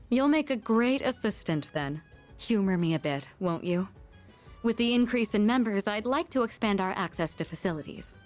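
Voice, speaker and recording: A woman's voice: mid-range voice, animated, normal speed, a little mellow, soft background music, lightly acted. A woman voicing a refined, commanding senior schoolgirl in a game, polite and formal. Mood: composed, courteous. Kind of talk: game voice acting.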